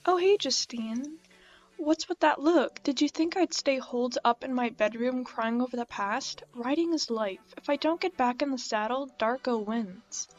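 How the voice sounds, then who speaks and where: A woman's voice: high voice, normal speed, lo-fi sound, lightly acted. A woman voicing a proud, troubled and defiant young woman horse rider from a video game, reading the dialogue with intensity.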